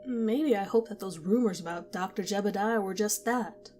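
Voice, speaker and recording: A woman narrating a scripted horror story in a slow, measured, intimate way. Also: mid-range voice, darkish tone, soft background music.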